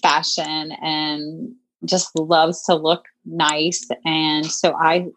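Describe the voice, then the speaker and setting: A woman's voice: sits low, level tone, extremely slow, fairly bright tone, rough recording. A woman in her fifties talking as a guest in a casual interview, chatty and reflective, with many fillers. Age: 50s. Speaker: podcast guest, boutique owner.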